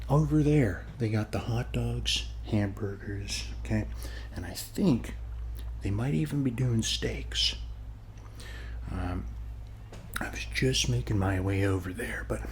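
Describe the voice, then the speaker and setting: A man playing a friendly, rambling neighbor in a soft ASMR roleplay, speaking haltingly and often dropping to a whisper. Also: fairly low pitch, a little hiss or hum. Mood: friendly, welcoming. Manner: halting, rambling, reassuring, often ending phrases with "okay". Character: friendly rambling male neighbor at a lake party. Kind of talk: ASMR roleplay, soft-spoken one-sided conversation.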